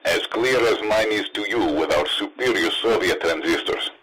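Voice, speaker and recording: A man's voice: on the low side, moderate pace, fairly bright tone, husky, poor audio. An actor playing a male Soviet spy handler, speaking curtly and boastfully over a radio link in an old-time radio drama. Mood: commanding.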